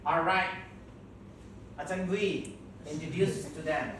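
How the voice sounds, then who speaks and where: A man, a Buddhist monk, teaching a group in slow, emphatic, non-native English and leading them in repeating terms. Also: fairly high pitch, steady tone. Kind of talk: teaching a group, call and response. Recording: echoey room, from far off, with noise.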